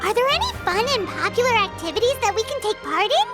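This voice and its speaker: A woman voicing a small, excitable, childlike female game companion with a squeaky voice and bubbly, inquisitive manner. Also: fast. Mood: curious. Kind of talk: video game voice acting. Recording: loud background music.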